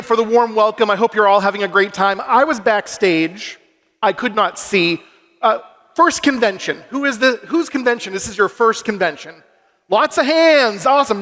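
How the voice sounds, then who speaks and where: A man's voice: very high-pitched, bright, forceful tone, big-room sound. A young man from Massachusetts giving an upbeat, self-deprecating keynote speech and working the crowd at a youth conference. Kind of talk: energetic stage speech to a youth audience. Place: large convention hall stage. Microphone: stage microphone through a PA.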